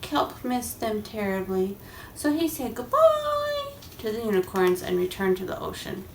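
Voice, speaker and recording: A woman's voice: fairly high pitch, very animated, a little slow. A woman reading a children's picture book aloud expressively, like a parent to a child. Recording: echoey room, faint background noise.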